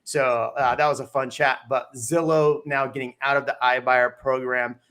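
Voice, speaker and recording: A man's voice: mid-range voice, little pitch movement, moderate pace, a bit bright. A man hosting a casual real estate livestream, joking and teasing his co-hosts. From Texas. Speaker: real estate show host. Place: home studio on a live stream.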